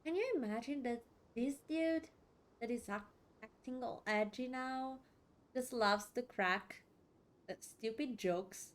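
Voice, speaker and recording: A woman giving casual let's play commentary, in a halting, giggly way with repeated words. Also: a little high. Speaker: gaming streamer.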